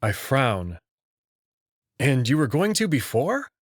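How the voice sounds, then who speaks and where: This is a man playing the uneasy hero of a visual novel, telling his story in the first person. He is casual and a little wry, with lively intonation at an ordinary male pitch. The recording is dry and close. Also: slow, no room sound. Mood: skeptical, questioning. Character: anxious, skeptical human man narrating his own story.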